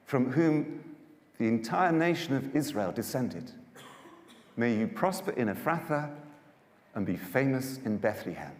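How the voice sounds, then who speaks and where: A man's voice: medium pitch, darkish tone. Theatrical man storyteller in an echoing hall. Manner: theatrical, declamatory, deliberate pauses. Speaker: Bible storyteller performer. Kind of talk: dramatised scripture narration. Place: large church hall, live performance. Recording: echoey church hall with long reverb.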